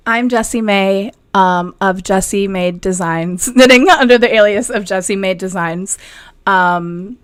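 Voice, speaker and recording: A woman's voice: medium pitch, unhurried, clean tone, no room sound, clean studio sound. A middle-aged woman from northern Wisconsin, co-hosting a casual chat and laughing at herself often. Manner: chatty, self-deprecating, laughs often. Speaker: co-host, knitwear designer. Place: hot apartment in a city. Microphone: video call.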